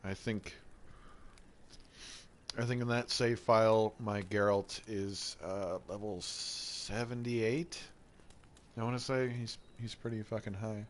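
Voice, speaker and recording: A laid-back young man with a stuffy, head-cold voice, rambling easily as he kicks off a gaming stream. He talks slowly in a fairly low register, dropping casual swears. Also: fairly even intonation, a little hiss or hum. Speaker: gameplay streamer. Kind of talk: casual gaming intro chat.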